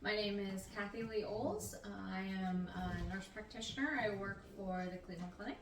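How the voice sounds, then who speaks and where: A woman's voice: medium pitch, brisk. A middle-aged woman, a nurse practitioner, answering interview questions in an easygoing, talkative, slightly meandering way. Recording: roomy, distant mic, faint noise.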